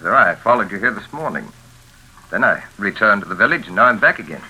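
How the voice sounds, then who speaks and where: An actor playing a determined young fiancé in a 1940s radio thriller, courteous, earnest and firm. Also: male voice, lowish voice, expressive, fast, sharp tone, a little hiss or hum, low-quality recording. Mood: polite, probing. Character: determined, polite young fiancé come to the rescue. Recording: sizzling old radio broadcast.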